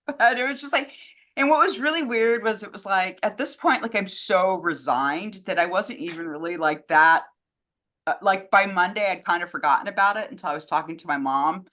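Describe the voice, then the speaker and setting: A woman's voice: medium pitch, fairly quick, a bit bright. An American woman co-hosting a show, chatting casually and telling things in an informal, anecdotal way. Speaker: co-host fielding fan questions.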